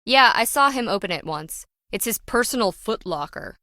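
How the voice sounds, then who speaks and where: A woman's voice: fairly high pitch, lively intonation, moderate pace, bright, forceful tone, no room sound. A brash treasure-hunting businesswoman for a video game. She is flippant and self-important, griping in slangy, sarcastic lines. The recording is dry and close, with no room around her. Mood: griping, exasperated. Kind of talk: video game character dialogue.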